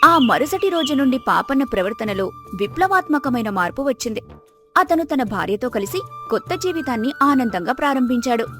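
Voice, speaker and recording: A woman's voice: sits high, sing-song, fairly quick, fairly bright tone, soft background music. A woman narrator reading a scripted moral story.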